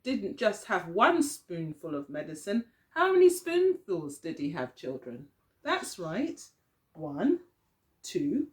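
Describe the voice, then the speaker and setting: A woman teacher reading a picture story aloud to young children, expressively, often pausing to put questions to them. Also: mid-range voice, darkish tone.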